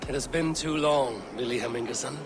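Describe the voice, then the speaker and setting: An actor playing a steady, loyal Viking warrior and trusted friend in a video game, measured and earnest. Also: male voice, mid-range voice, noisy background, lightly acted. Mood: warm, teasing. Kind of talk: dramatic video game acting.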